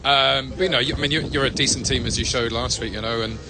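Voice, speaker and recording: A man's voice: mid-range voice, fairly even intonation, fast talker. A man, a football fan, chatting in a friendly, rambling way about the match outside the stadium. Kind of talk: casual post-match chat. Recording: crowd noise outdoors. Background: crowd of fans.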